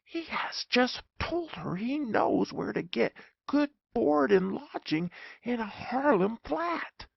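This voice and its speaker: A narrator voicing an excitable, pompous German professor in a comic audiobook, dramatic and exclaiming, often hushed. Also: mid-range voice, slow pace, gravelly, husky, no room sound, dull audio, pitched above the performer's own voice, full character voice. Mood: alarmed whispering. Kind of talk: comic audiobook character acting.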